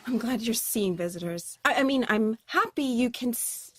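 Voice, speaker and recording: A woman's voice: a little high, animated, moderate pace, fairly bright tone. An actress playing a nervous young woman who rambles and apologizes, in an audio drama.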